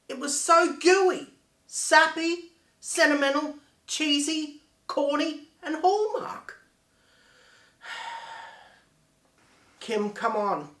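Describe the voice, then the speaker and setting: A man's voice: very high voice, sharp tone, heavily acted. A man playing a desperate struggling theatre performer in an emphatic, theatrical dramatic monologue. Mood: desperate pleading. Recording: echoey room.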